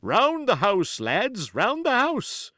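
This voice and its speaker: A man's voice: high-pitched, huge pitch swings, takes it slowly, bright, forceful tone, dry recording, studio quality, voice pushed up, exaggerated voice. A man voicing a gallant, urgent sea captain from an adventure storybook, barking out clipped orders. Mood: urgent, commanding.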